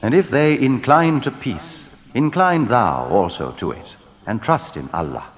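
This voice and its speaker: A man reading translated scripture in a solemn, measured, declamatory style, letting his phrases fall at the end. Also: medium pitch, sing-song. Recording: long hall reverb.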